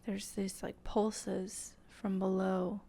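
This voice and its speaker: Woman, guided meditation host, dark mellow tone, very flat pitch, soft and breathy, near-whisper, halting. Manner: slow, halting, gentle delivery with long pauses. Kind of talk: improvised channeled guided meditation.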